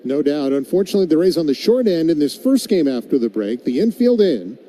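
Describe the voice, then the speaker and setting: Mellow, dark-voiced American baseball announcer, higher-pitched man. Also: big pitch swings, brisk, a little hiss or hum. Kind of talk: live radio play-by-play commentary with casual banter.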